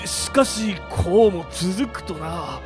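A man playing a rough-spoken but sensible adventurer, blunt and casual, in a comedic radio drama. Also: sits high, animated, takes it slowly, music in the background. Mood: musing.

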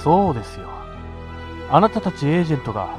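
A villainous interrogator, acted by a man, polite and insistent. The delivery is quick and varied in pitch, formally pressing each question over clear background music. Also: very animated. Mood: coolly pressing. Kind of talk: amateur radio drama acting.

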